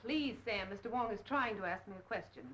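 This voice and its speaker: A woman's voice: mid-range voice, brisk, fairly bright tone, close to an everyday voice. An actress playing a feisty, pert, easily indignant young woman in a 1930s film. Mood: sweetly polite. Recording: dull, faintly noisy 1930s film soundtrack.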